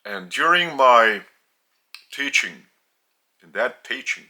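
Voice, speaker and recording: A man with a Lithuanian accent giving a spiritual teaching in a deliberate, halting way, with long pauses between phrases. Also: medium pitch, expressive, pushed and bright.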